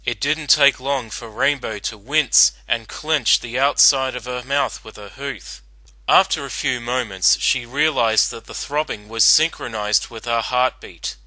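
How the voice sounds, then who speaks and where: Very bright, dry-sounding man narrating. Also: mid-range voice, a little slow, no room sound, rough recording. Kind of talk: dramatic story reading.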